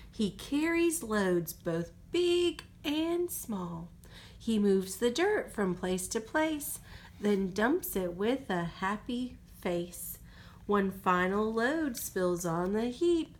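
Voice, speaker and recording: A woman reading a picture book aloud to a child as a mother, in an expressive way. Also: on the high side, drawn-out words, a little hiss or hum. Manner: expressive, drops to whispers for goodnights. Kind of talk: storybook read-aloud.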